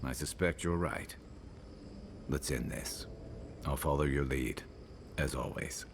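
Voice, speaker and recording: An actor playing a weary, loyal, regretful companion in a video game, speaking in an earnest, deliberate, reflective way. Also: male voice, very deep voice, noisy background, full character voice. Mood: resolute, warm. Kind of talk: video game dramatic dialogue.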